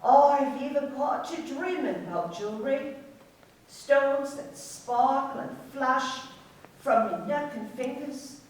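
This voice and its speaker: An actress playing a proud, tart, teasing London barmaid in a radio play. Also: female voice, high voice, lively intonation. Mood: wistful, proud. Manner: brisk, teasing, haughty. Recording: very echoey, distant from the mic.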